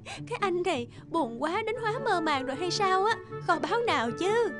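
An actress voicing a practical, eager young village wife in a fairy-tale cartoon, speaking expressively. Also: female voice, very high-pitched, sing-song, moderate pace. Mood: doubtful teasing. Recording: loud music under the voice.

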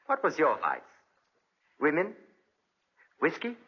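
An actor playing a worldly spendthrift gentleman in prison, breezy and sardonic, in 1940s radio drama style. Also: on the high side, huge pitch swings, slow, slightly rough, heavily acted. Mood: flippant. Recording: muffled 1940s radio recording.

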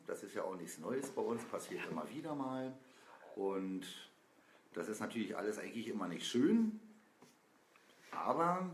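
A man chatting casually on a live stream from a sofa, talking in loose, rambling sentences with many fillers. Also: fairly low pitch. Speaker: band member. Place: small indoor room, seated on a sofa. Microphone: camera a few feet away. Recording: roomy with faint noise.

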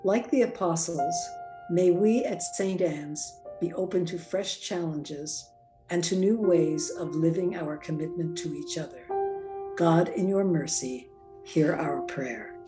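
An older woman calmly reading intercessory prayers in a measured way. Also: low-pitched, over music. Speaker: prayer leader.

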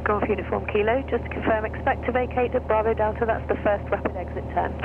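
A woman gives clipped air traffic instructions at a fast pace. She comes over a radio with a narrow, phone-like sound and clear noise. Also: mid-range voice, steady tone.

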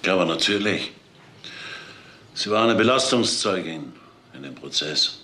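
An actor playing a shady, commanding lawyer in a crime radio play, husky, assured and formal. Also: male voice, deep voice, expressive, unhurried, bright, forceful tone, heavily acted. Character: smooth-talking, commanding, shady male lawyer.

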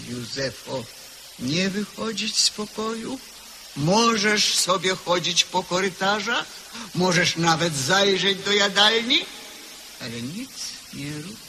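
Sinister household head, hushed commands, slow, high bright voice, radio play. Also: male voice, gravelly, noisy background, full character voice. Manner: grim, lowering to hushed commands. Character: stern, ominous master warning a servant. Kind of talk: radio drama acting.

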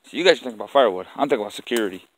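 A man's voice: medium pitch, animated, very fast, low-quality recording. A man talking casually and bluntly, with slang and swearing, as he films outdoors in the woods. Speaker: camper filming the outing. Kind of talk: casual camping vlog talk, swearing. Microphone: handheld camera he is holding.

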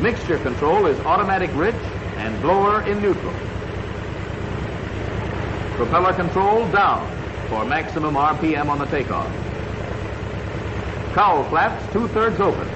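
An old, muffled and noisy film soundtrack carries an American man's fairly high voice. He narrates in a 1940s instructional style, enunciating each step in a measured way with lively inflection.

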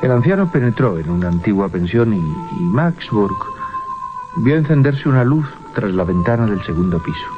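An ominous storyteller narrates horror with a Spain accent and theatrical pauses. His medium-pitched voice is very lively, on muffled 1980s radio with music behind. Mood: ominous suspense. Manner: suspenseful, theatrical pauses and repetitions. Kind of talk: dramatic scripted radio narration.

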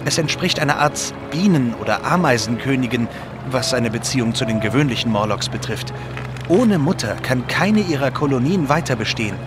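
A man's voice: mid-range voice, lightly acted. An actor playing a grave, knowledgeable, remorseful time traveller in a Victorian audio drama, speaking earnestly as he explains. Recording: clear outdoor vehicle noise behind.

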